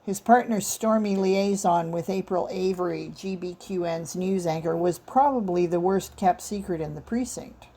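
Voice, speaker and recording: A woman's voice: on the low side, normal speed, hardly any pauses, dark tone. A woman author reading her own short fiction as scripted story narration.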